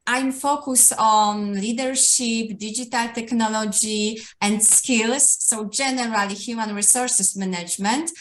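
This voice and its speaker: A woman who is an assistant professor, introducing herself briskly on an online panel with frequent "uh" fillers. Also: on the high side, a bit bright. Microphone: video call microphone.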